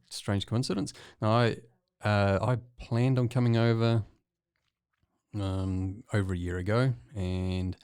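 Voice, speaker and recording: A man from New Zealand with a New Zealand accent, a guest artist chatting casually in an interview, relaxed and hesitant with lots of ums. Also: fairly low pitch, takes it slowly, clear, resonant tone, dry recording, studio quality. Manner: relaxed, hesitant with many ums, chuckles often.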